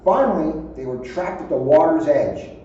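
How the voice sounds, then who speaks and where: Guy reading a storybook to kids, highish but very mellow voice, super echoey with asides. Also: expressive, normal speed. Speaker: police officer reading aloud. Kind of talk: children's storybook read-aloud with ad-libbed asides. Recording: muffled, very echoey, distant, faint noise.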